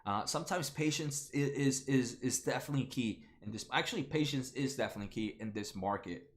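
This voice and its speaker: Late 20s guy chatting on a live stream, stumbles and restarts a lot, mid pitched voice. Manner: halting, many fillers and restarts, addresses viewers by name. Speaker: stock market livestream host. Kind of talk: casual livestream chat reading viewer comments.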